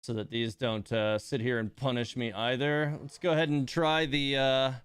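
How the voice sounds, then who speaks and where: A man's voice: medium pitch, flat delivery, moderate pace, fairly bright tone, clear, resonant tone, dry recording. A man hosting a game livestream in casual chat, muttering exasperated asides to himself and his viewers. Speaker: livestream host playing a gacha game.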